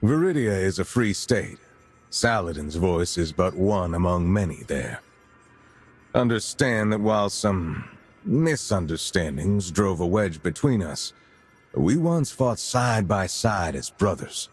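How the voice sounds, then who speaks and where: An actor playing a grave veteran lord and military commander in a video game, speaking in a formal, measured way. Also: male voice, low-pitched, expressive, husky, faint music behind, heavily acted. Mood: solemn, earnest. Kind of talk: dramatic video game cutscene acting.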